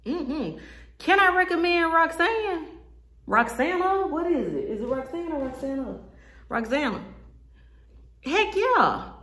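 A woman's voice: high voice, very animated, measured pace, long pauses, fairly bright tone, reverberant. A woman in her thirties reviewing a wig in a casual vlog, talking straight to her viewers in a sassy, joking way while seated indoors. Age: 30s. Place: indoor room with brick wall.

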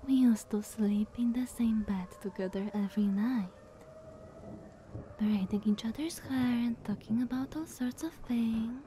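Woman as playful vampire sister, highish breathy voice, slow purring delivery, coy, teasing, ASMR audio roleplay. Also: a little hiss or hum, lightly acted. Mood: sultry, affectionate. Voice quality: breathy, often whispery. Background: soft ambience.

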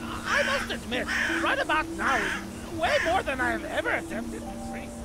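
High-pitched, boastful little rat creature. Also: huge pitch swings, normal speed, a loud crowd, heavily acted. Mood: musing. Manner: rambling, boastful, hesitant asides. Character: small chatty boastful skeever, hard-drinking step counter. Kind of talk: scripted game companion dialogue. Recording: loud music behind the voice.

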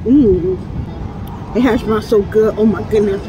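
A woman's voice: medium pitch, takes it slowly. A woman in her thirties reviewing food outdoors, talking casually and playfully into a phone at arm's length between bites. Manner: chatty, playful, laughs often while eating. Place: outdoors beside a building, near traffic. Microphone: phone camera at arm's length. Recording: outdoor phone recording with traffic noise.

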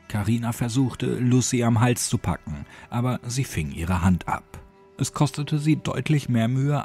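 A man's voice: lowish voice, vocal fry, darkish tone. A man reading a scripted horror story as an audiobook narrator. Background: music.

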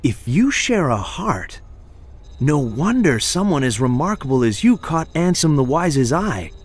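A man playing a polite, thoughtful character in a video game, husky and explaining things carefully. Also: fairly high pitch, big pitch swings, a little slow, full character voice.

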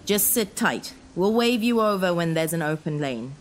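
A woman's voice: lowish voice, lively intonation, slight background noise, close to an everyday voice. A woman voicing a firm male police officer at a crash scene. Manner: brisk, commanding.

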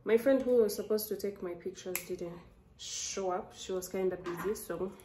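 A woman's voice: medium pitch, moderate pace, warm tone. A young woman from Rwanda with a Rwandan accent, chatting casually to a phone camera as a vlogger. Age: young adult. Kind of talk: casual vlog chat to camera. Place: small indoor rooms, speaking to camera. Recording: roomy echo, faint background noise.

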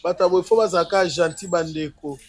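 A husky man with a high voice fires off quick lines. He acts in a comic sketch recorded outdoors from a far camera. Faint birdsong sits behind him. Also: steady tone, a little mellow.

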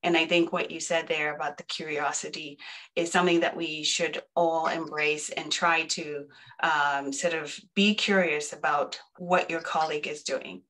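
A woman's voice: deep voice, steady tone, moderate pace, fairly bright tone, rough recording. A woman from Zimbabwe speaking on a webinar panel, earnest and encouraging in an easy conversational way. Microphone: video call.

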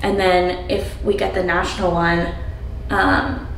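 A woman's voice: fairly low pitch, flat delivery, measured pace. An American woman in her twenties chatting casually to camera as a vlogger, in a talkative style peppered with "like.". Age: 20s. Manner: chatty, frequent "like". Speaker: lifestyle vlogger. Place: home bathroom with hard surfaces. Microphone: camera a step or two away. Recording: roomy, distant mic, faint noise.

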